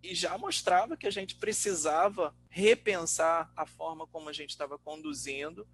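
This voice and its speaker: A Brazilian man, a researcher and teacher, talking informally and reflectively in a webinar, halting as he thinks aloud. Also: fairly high pitch, fairly bright tone, slightly rough. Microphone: online webinar call. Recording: noisy webinar audio with hum.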